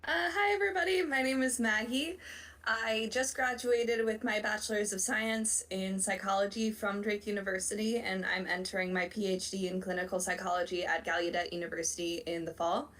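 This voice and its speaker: A woman's voice: medium pitch, steady tone, a little fast, a bit bright. A young adult woman, a recent graduate, introducing herself eagerly and in a friendly way as a co-presenter. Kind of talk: self-introduction. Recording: distant microphone.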